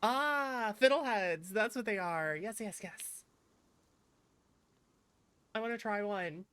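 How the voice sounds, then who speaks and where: A woman's voice: medium pitch, slow, fairly bright tone, clear, resonant tone. A woman chatting casually and playfully as she plays a video game, reacting aloud. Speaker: streamer playing a video game. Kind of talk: casual gameplay chat, reading dialogue aloud.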